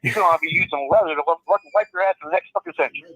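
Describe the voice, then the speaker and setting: A man's voice: fairly high pitch, racing, a bit bright, dry recording. A man putting on a foul-mouthed, blustering, hot-tempered trucker who is calling in to a live stream over the phone. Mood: furious. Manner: profane, blustering, threatening, drawling. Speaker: phone-in guest. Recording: muffled phone line.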